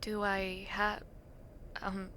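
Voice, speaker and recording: A young woman acting an affectionate, teasing university student in an intimate roleplay, talking softly and playfully to the listener late at night. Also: medium pitch, completely flat delivery, drawn-out words, slight background noise. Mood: fond, sleepy. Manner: soft, playful, teasing, with breathy murmurs.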